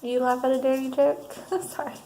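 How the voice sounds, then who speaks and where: Soft-spoken ASMR woman with creaky voice. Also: sits high, level tone, normal speed. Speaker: ASMR performer roleplaying a counselor. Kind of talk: soft-spoken ASMR roleplay, reading assessment statements. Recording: roomy sound, faint background noise.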